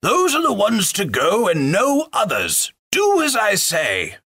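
A man voicing a drowsy, grumbling old male elf butler who complains gruffly at the others. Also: high-pitched, huge pitch swings, takes it slowly, bright, forceful tone, pitched above the performer's own voice, full character voice. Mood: gruff commanding.

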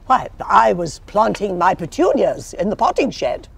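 Genteel older English lady, flustered, fluttery, swooping creaky voice, comic murder-mystery drama. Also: medium pitch, very animated, normal speed, heavily acted. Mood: innocent. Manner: fluttery, theatrical.

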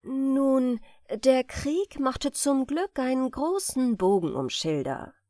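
A woman narrating a comic folk tale for an audiobook. She takes her time, with deliberate pauses and very lively intonation. The sound is close and dry. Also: sits high, no echo. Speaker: audiobook reader. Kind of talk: expressive scripted storytelling of comic folk tales.

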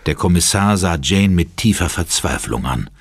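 Low-voiced male horror narrator. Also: lively intonation, moderate pace, husky, dry recording, studio quality. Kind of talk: dramatic scripted horror narration.

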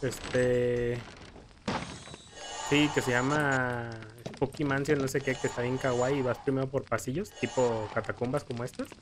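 A man's voice: mid-range voice, flat delivery, a little slow. A young man with a Mexican accent chatting casually over gameplay, stammering and rambling as he tells stories. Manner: rambling, stammering storyteller who acts out scared quotes. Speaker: gameplay commentator. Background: game music.

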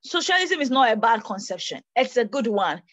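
A woman's voice: on the high side, fairly quick, no room sound. A young woman from Ghana hosting an online panel, speaking earnestly from a small room and restating her points as she goes. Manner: animated, gesturing, restates and self-corrects as she goes. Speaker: host of an online panel discussion. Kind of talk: earnest debate remarks. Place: small bedroom indoors. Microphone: tablet camera on a video call.

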